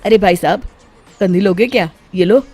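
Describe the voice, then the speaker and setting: A woman voicing a loud, brash male street peddler, blunt and calling out his wares in a story. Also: mid-range voice, lightly acted. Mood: blunt, mocking. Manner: drawn-out hawking calls, blunt.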